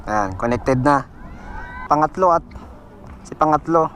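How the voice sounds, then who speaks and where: A man's voice: a little high, animated, unhurried, fairly bright tone, a little hiss or hum. A Filipino man hosting a casual vlog, chatting and giving simple how-to instructions. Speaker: vlog host. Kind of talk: casual vlog chat and tutorial.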